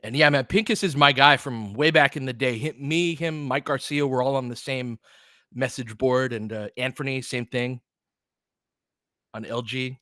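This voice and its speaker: A man's voice: medium pitch, moderate pace, pushed and bright, dry recording, studio quality. A man hosting a basketball stream, giving casual play-by-play mixed with chat in a conversational, slangy way with clipped phrases.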